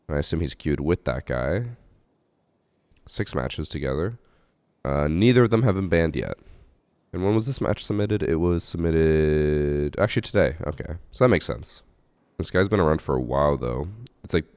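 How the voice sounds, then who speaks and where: A hoarse man with a cough, casually analyzing gameplay clips as a gaming channel host. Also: very deep voice, a little mellow, dry recording. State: hoarse from a cough, losing his voice. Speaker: gaming channel host reviewing submitted matches. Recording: game gunfire behind the voice.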